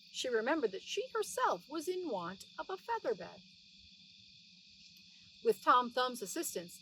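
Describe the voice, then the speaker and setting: A middle-aged woman narrates a children's storybook in a fairly high, highly expressive voice. She speaks simply, as if to young children. The recording sounds slightly distant, with faint noise behind her. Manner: animated, explaining things simply for young children. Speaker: children's read-aloud narrator. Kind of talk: expressive storybook reading with plain asides to explain words.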